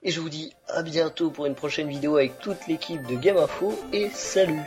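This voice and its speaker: Chatty French man with slightly high pitch. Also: brisk, soft background music. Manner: enthusiastic, chatty, many fillers. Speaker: podcast host. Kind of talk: casual podcast chat. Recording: dull lo-fi podcast audio.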